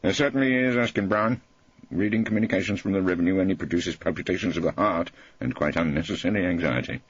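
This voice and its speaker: A man's voice: low-pitched, fast talker, breathy edge, no room sound, lo-fi sound. A man playing an irreverent, witty old male barrister with a wry, florid, mock-courteous manner. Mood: mock horror.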